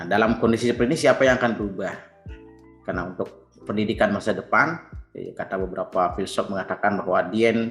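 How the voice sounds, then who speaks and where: A man in his fifties, a teacher, earnestly presenting to fellow educators and clearing his throat often. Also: fairly low pitch, echoey room. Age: 50s.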